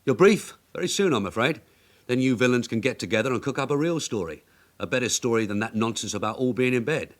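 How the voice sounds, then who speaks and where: Man playing a quick-talking, sardonic detective. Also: mid-range voice, lightly acted. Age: middle-aged. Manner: deadpan, sarcastic. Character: shrewd, weary, sardonic veteran male detective sergeant. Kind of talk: radio drama acting.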